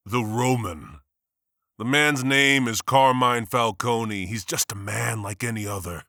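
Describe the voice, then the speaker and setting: A man's voice: medium pitch, unhurried, sharp tone, no room sound, clean studio sound. A man playing a driven, bitter district attorney who speaks sardonically and heatedly. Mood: sardonic.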